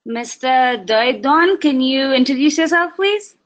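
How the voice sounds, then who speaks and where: A woman's voice: sits high, lively intonation, a little slow, dull audio. A woman moderating an online webinar over a video call, polite and hesitant, with frequent ums. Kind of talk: hosting an online meeting.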